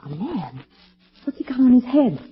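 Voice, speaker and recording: An actress playing an anxious, excitable, well-spoken lady companion in a 1940s-style radio thriller, dramatic in delivery. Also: on the high side, moderate pace. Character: nervous, excitable refined young lady, sleuth's companion. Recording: dull old radio recording.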